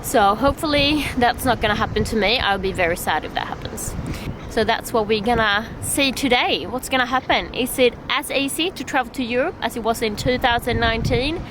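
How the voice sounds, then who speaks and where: A young woman from Sweden with a Swedish accent, chatting excitedly in a casual travel vlog and laughing often. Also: on the high side, a little fast, background noise. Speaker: vlogger.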